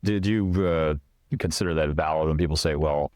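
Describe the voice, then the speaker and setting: A male interviewer asking quick, probing questions. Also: rumbling low, a little mellow, no room sound, clean studio sound.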